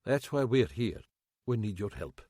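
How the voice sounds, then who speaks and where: A calm older inspector, as a male narrator plays him, understated and dry-witted. His delivery is level and controlled with a lively pitch range, in a dry, close recording. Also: a little slow, dry recording.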